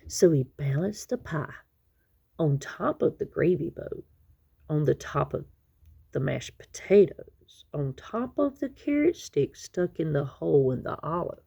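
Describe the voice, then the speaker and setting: A woman reading a children's picture book aloud expressively, with dramatic, drawn-out emphasis on key words. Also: sits low, lively intonation, vocal fry, mellow, husky. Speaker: children's story reader.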